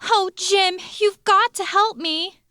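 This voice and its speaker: A woman acts out a distressed lady shopkeeper in a comic radio melodrama. Her voice is very high and plaintive. She draws her words out very slowly, full of woe. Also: bright, forceful tone, no room sound, clean studio sound, full character voice. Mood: distraught. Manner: plaintive, drawn-out delivery.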